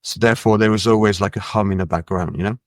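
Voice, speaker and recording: Man from France, low voice, relaxed and musing, middle-aged, dry close mic. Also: quick pace, no echo. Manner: relaxed, musing, trails off with fillers. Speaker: musician and label head, guest. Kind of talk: casual interview chat.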